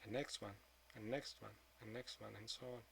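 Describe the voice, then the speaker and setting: A man of twenty-two speaking in a frank, subdued confessional monologue, swearing often and trailing off into quieter mutters. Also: on the low side, fairly even intonation, fairly quick, vocal fry, a little hiss or hum, low-quality recording. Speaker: vlogger.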